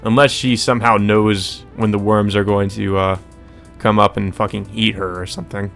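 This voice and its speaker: A man's voice: fairly low pitch, flat delivery, normal speed, gravelly, a bit bright, soft background music. A man reading a visual novel aloud as a let's play host, with informal commentary.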